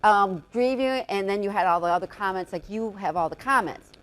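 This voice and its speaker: A loud-voiced woman with an ordinary mid-range pitch interviewing a guest in a conversational way. She is chatty, jumps in with her own thoughts, and restates ideas back to him. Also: fairly quick.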